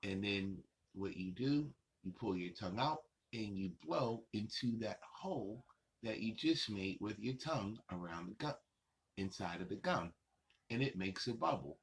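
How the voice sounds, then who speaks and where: A man hosting a children's story time, reading a picture book aloud with playful, drawn-out phrasing and chatty asides to the kids. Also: fairly low pitch, animated, husky.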